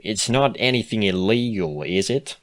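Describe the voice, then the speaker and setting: A man's voice: fairly low pitch, expressive, normal speed, close to an everyday voice. A man voicing an earnest, nosy female cartoon pony who presses and pleads emphatically in a storybook reading. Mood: worried.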